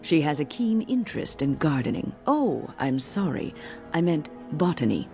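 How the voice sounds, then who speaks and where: An actress playing an older, genteel female mage in a fantasy game, speaking formally and with measured kindness. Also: deep voice, sing-song, darkish tone, faint music behind. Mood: friendly, businesslike. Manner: formal, measured, kindly diction. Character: elderly genteel female mage, wise and cautious. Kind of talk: fantasy game dialogue acting.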